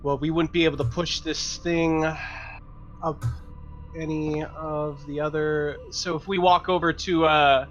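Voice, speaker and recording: A man's voice: on the high side, flat delivery, measured pace, lo-fi sound, faint music behind. A man playing a tabletop game, chatting casually and thinking aloud with hesitations.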